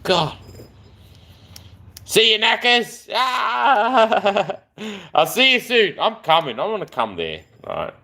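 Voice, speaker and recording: A man's voice: high-pitched, very animated, slow pace, creaky, pauses often, sharp tone. A man, chatting in a rambling, affectionate way on a live stream. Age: 40s.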